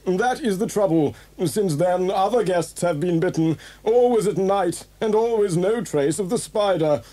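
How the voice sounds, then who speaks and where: Prim, worried hotel owner, audio drama. High, soft-edged voice, measured and formal. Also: male voice. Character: anxious, prim hotel owner pleading for help. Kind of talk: 1980s children's audio drama acting.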